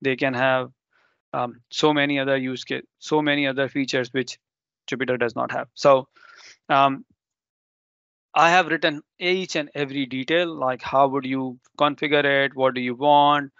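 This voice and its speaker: A man's voice: medium pitch, steady tone, normal speed, fairly bright tone, smooth, dry recording, dull audio. A man whose first language is Urdu, presenting a live technical demo and explaining step by step with ums and self-corrections.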